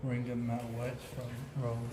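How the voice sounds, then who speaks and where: A man chats casually with a friend while touring a museum, making quick enthusiastic remarks. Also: medium pitch, monotone, far from the mic, a little hiss or hum. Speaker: vlogger's companion. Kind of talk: casual chat. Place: large indoor museum. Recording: very echoey museum with loud ambience.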